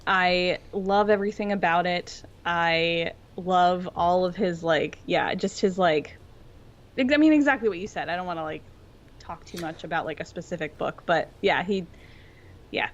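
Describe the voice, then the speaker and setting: A woman's voice: fairly low pitch, normal speed. A woman chats casually as a podcast co-host. She rambles and circles back on her thoughts, and the audio is a little dull. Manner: rambling, tangential, filler-heavy with frequent "like". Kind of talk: casual fan discussion chat.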